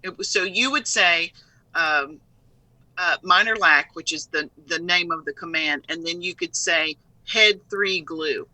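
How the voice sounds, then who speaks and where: A woman speaking as a guest in a casual live video interview, lively and playful in manner. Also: lowish voice, measured pace, no room sound, low-quality recording. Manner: lively, playful, laughs easily. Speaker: software trainer and company head.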